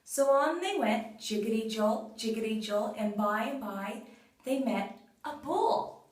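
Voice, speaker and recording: A very echoey, slightly distant recording of a woman telling a folktale to young children. She has a highish voice and takes her time, giving the refrains a sing-song rhythm. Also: a little slow. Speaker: storyteller. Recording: very roomy echo, far from mic.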